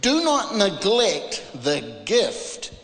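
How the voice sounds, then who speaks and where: A man from New Zealand with a New Zealand accent preaches an emphatic, informal charismatic sermon, using dramatic pauses and rhetorical questions. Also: high-pitched, huge pitch swings, fairly bright tone. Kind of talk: charismatic sermon, part exhortation and part Bible reading. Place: large reverberant room, preaching to a congregation. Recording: echoey, reverberant large room.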